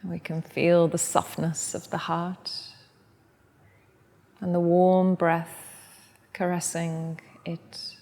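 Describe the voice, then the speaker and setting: A woman who teaches yoga, leading a guided meditation in a soft, gentle, unhurried way. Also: fairly low pitch, little pitch movement, long reverb tail. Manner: soft, slow and gentle guidance.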